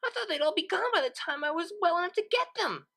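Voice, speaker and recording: Storybook role: excitable young girl pony. Squeaky and bright, very high-pitched, gushing with toy-fan enthusiasm. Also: fast, full character voice. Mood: thrilled, relieved. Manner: gushing, breathless exclamations.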